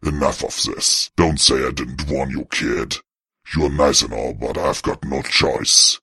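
A man voicing a weary, menacing, ancient undead ghoul in a storybook reading, with a harsh gravelly voice and a gruff, resigned manner. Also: very deep voice, measured pace, bright, forceful tone, no room sound, studio quality, pitched below the performer's own voice, full character voice. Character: weary, menacing two-hundred-year-old male undead ghoul beast.

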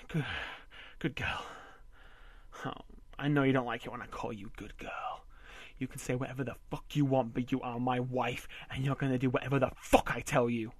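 A man voicing a domineering, possessive husband in an amateur audio roleplay, coarse and swearing, often dropping to a breathy whisper. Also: medium pitch, no room sound. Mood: commanding.

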